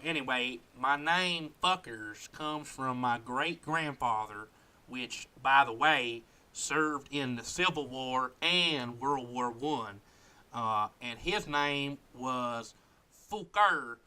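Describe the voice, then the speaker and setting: Man doing a bright-voiced folksy Southern country guy. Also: medium pitch, smooth. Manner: drawling, folksy, blunt. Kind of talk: comic character interview.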